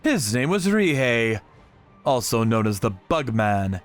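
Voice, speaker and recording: A man's voice: mid-range voice, big pitch swings, measured pace, faint music behind. A man narrating a dramatic story in the first person with theatrical delivery. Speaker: narrator of a manga channel story.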